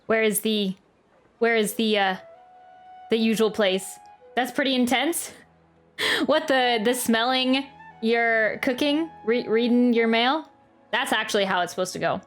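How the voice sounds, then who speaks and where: A woman's voice: a little high, unhurried, pauses often. A woman streamer giving casual live game commentary, chatty and musing aloud, close to the mic. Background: game music.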